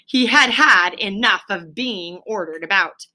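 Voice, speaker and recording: A woman reading a children's story aloud like a teacher, with emphatic, deliberate phrasing and explanatory asides. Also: medium pitch, bright, forceful tone.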